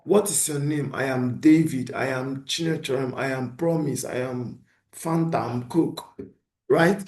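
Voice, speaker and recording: A man's voice: fairly high pitch, roomy sound. A man lecturing beginners, often asking a question and then answering it himself. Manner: animated teacher who poses questions and answers them himself. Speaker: instructor.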